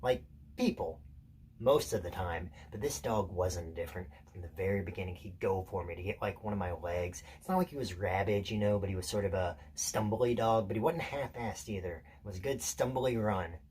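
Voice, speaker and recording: A man acting out a theatrical monologue as an intense, unsettled loner, confiding and deliberate, pausing and correcting himself. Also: deep voice, a little mellow, slight background noise, close to an everyday voice. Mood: confiding, edgy. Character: intense, unsettled male loner telling a rambling story.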